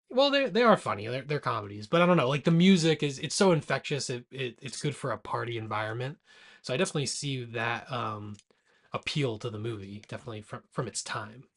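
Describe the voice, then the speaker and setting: A man with a medium-pitched voice talking as a podcast co-host. His delivery is informal and rambling, and he often rewords a thought partway through. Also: lively intonation, a little fast, fairly bright tone. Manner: informal, rambling, self-correcting. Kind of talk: casual movie discussion.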